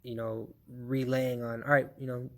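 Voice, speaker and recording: A man's voice: medium pitch, little pitch movement, moderate pace. A man in his thirties hosting a casual podcast, easygoing and chatty, laughing easily and swearing casually. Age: 30s. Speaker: podcast host.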